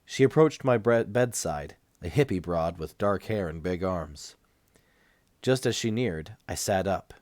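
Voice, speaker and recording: A man getting over a cold reads a first-person novel aloud, measured, with dramatic pauses. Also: sits low, animated, a little mellow, no room sound. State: recovering from a cold. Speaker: amateur audiobook reader.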